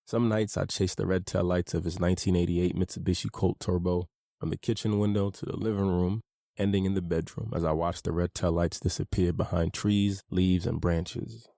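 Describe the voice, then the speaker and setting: Male author-narrator, memoir read, low gravelly voice, dark tone, dry close sound. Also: level tone, dry recording. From United States. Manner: measured, earnest, personal. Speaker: author narrating his own audiobook.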